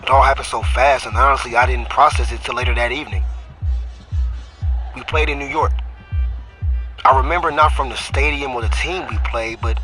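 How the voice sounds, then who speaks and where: A man's voice: medium pitch, steady tone, brisk, pauses often, pushed and bright, faint music behind, close to an everyday voice. An actor playing a young male football running back who narrates his own story, wry and stumbling when defensive. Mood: reflective. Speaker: narrator and lead. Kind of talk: audio drama with inner narration.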